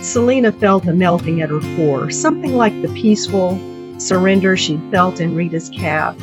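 A woman's voice: lowish voice, animated, moderate pace. A woman writer reading her own literary short story as a narrator. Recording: dull, dry recording with music bed.

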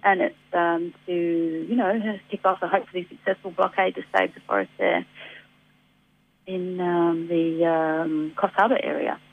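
An Australian woman with a slightly gruff voice from a head cold, chatting on community radio about local events with many ums and easy laughs. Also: on the low side, measured pace. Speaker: environment centre guest on community radio. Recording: dry tinny phone line.

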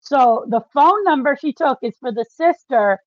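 A woman with a fairly high voice talks casually as a podcast co-host. She explains patiently in short steps, often checking that she is understood. The recording is dry and a little muffled. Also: expressive, normal speed, dry recording. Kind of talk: casual book discussion.

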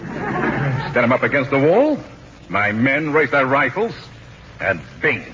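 Sardonic male general, forceful and pompous, 1940s radio comedy, lo-fi sound. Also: medium pitch, sing-song, takes it slowly. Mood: sly menace. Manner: mock-courteous, pompous. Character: scheming, sardonic Spanish general, adult man. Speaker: radio actor. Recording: muffled, noisy 1940s radio broadcast.

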